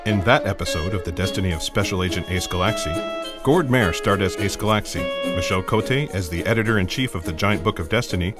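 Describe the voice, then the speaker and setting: Low-voiced male announcer over music. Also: fast talker. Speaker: credits announcer. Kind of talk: scripted announcement.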